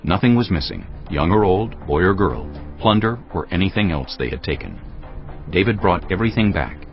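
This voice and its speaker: A man narrating dramatized scripture in a solemn, measured storytelling manner. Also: low-pitched, animated. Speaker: Bible narrator. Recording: dull audio with music behind. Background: background music.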